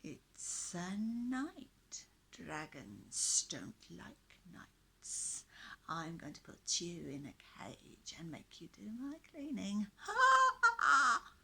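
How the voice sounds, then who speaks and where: A woman voicing a huge, menacing male dragon that hisses and gloats slowly in a storybook reading. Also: fairly low pitch, sing-song, vocal fry, slightly rough, full character voice. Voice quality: hissing.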